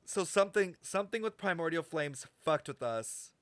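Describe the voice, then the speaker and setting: A man streaming casual game commentary, talking to chat in an animated, slangy, sweary way. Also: on the high side, moderate pace, no room sound. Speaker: streamer reacting to a video game. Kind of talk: casual livestream commentary and lore speculation.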